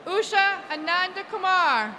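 A woman reading graduates' names one by one at a university commencement in a large indoor arena, in a deliberate, clear way. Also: very high-pitched, animated, very noisy. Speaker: name reader at commencement.

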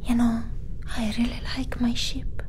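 A young woman whispering softly through an ASMR roleplay of an alien medical inspection, humming and murmuring between lines. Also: fairly high pitch, little pitch movement, a little slow, noisy background. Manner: soft unvoiced whisper with hums and murmurs. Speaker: ASMR creator.

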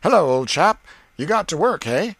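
A cocky, pushy young boy, acted by a man, speaks in folksy dialect in a storybook. The voice sits high and swings up and down in pitch. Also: sing-song, moderate pace, a bit bright, husky, no room sound, exaggerated voice. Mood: taunting. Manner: folksy, teasing boyish dialect. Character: cocky, needling boy who turns eager and wheedling.

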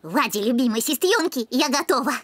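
A woman's voice: high voice, lively intonation, a little fast, fairly bright tone, no room sound. A woman voicing a bossy, sarcastic little dog girl in a cartoon, snippy in manner.

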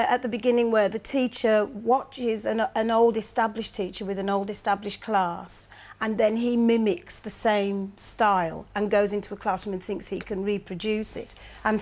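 Woman, medium pitch, informal panel reviewer. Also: fairly even intonation, normal speed, a little mellow. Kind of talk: informal spoken book review.

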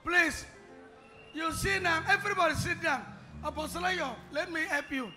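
A man's voice: very high voice, normal speed, faint music behind. A man preaching and exhorting a congregation on a handheld mic in a large church hall. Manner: exhorting, shouting to the crowd. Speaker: pastor. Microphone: handheld microphone.

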